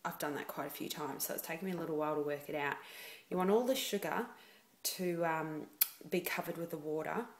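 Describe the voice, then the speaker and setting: Soft-spoken woman in an echoey kitchen. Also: low-pitched, a little fast. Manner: quiet, chatty and self-deprecating. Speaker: home baker. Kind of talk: baking tutorial. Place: home kitchen.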